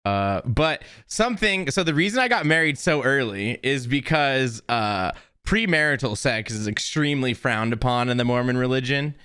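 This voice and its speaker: A man's voice: medium pitch, expressive, normal speed, hardly any pauses, sharp tone, clear, resonant tone, no echo, studio quality. A man in his twenties telling personal stories in casual, slangy chat, often laughing as he talks. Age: 20s. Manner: laughs while talking, informal slang.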